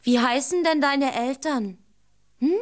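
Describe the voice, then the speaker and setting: An actress playing an earnest, tender-hearted young woman in a radio play, expressive and talking half to herself. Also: sits high, slow pace, no echo, clean studio sound. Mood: tender, fond.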